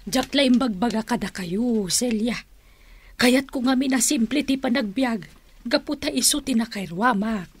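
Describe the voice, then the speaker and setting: Modest, confiding rich aunt, northern Philippine accent, slightly high husky voice, radio drama. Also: female voice, unhurried. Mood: earnest, modest. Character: modest wealthy aunt, earnest keeper of secrets. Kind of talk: Ilocano radio drama acting.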